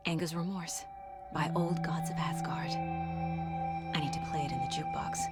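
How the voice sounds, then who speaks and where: A woman's voice: sits low, fairly even intonation, normal speed, whispered, close to an everyday voice. A woman playing a determined investigator in a game, thinking aloud in a natural, muttering way. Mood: focused, hushed. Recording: very loud music behind.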